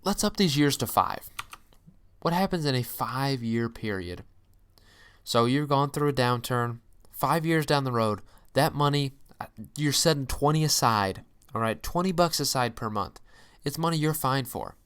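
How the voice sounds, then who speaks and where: A man's voice: mid-range voice, animated, moderate pace, a bit bright, smooth. A young man giving an informal, emphatic explainer as a channel host, peppered with folksy exclamations and rhetorical questions. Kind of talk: informal explainer, walking through a calculator.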